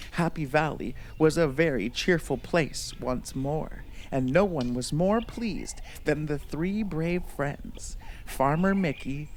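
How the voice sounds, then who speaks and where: Slow-paced man reading a bedtime story. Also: fairly high pitch, darkish tone, a little hiss or hum. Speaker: storybook reader.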